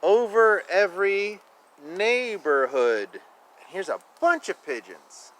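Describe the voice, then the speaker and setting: A man reading children's books aloud outdoors, with a drawn-out, sing-song delivery and casual asides. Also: high voice, big pitch swings, a bit bright, poor audio. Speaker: children's book reader. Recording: outdoor recording with clear ambient noise. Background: outdoor ambience.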